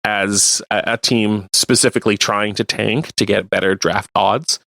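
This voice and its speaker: Low-voiced man, casual sports chat. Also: fairly even intonation, a little slow, vocal fry, pushed and bright, dry recording. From Canada. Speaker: radio co-host.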